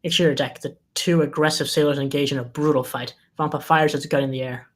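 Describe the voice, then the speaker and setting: A narrator reads screenplay directions aloud in a scripted delivery. The voice sits at a middling pitch. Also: steady tone, quick pace.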